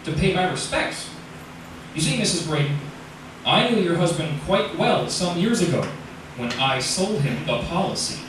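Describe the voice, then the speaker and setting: An actor playing a courteous, hesitant, quietly probing insurance agent in a 1940s-style radio drama. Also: male voice, a little high, lively intonation, normal speed, close to an everyday voice. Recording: echoey, distant, noisy old radio recording.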